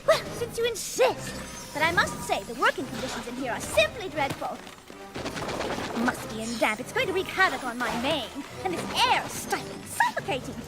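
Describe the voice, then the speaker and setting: A woman's voice: sits high, sing-song, moderate pace, over loud music. A woman voicing a prissy, dramatic, ladylike young pony, with refined diction and theatrical, haughty complaining.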